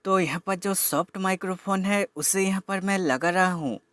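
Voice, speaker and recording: A man's voice: high-pitched, moderate pace, a bit bright, faint music behind. A man giving a scripted product demo voice-over as a reviewer, explaining plainly step by step and mixing in English terms.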